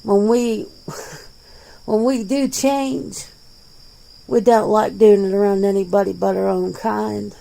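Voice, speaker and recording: A woman's voice: mid-range voice, steady tone, slow, creaky, pauses often, mellow. A woman voicing a jaded, defensive werewolf in their forties in an ASMR interview roleplay, talking bluntly and casually. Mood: matter-of-fact. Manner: blunt, casual, swearing, conversational.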